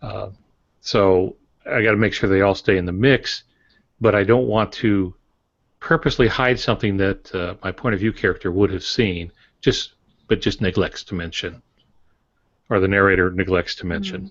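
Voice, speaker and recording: Very low-voiced man on a writing podcast. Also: dry recording. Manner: measured, hesitant with fillers. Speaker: podcast co-host and mystery writer. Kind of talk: casual podcast discussion on writing craft. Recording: dry, dull lo-fi podcast audio.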